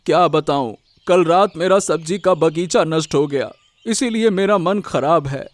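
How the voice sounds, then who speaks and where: Guy doing young humble villager, high pitched, naive, kinda down n hesitant, cartoon. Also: a little slow, no room sound, lightly acted. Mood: dejected. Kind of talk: Hindi moral-story cartoon dubbing.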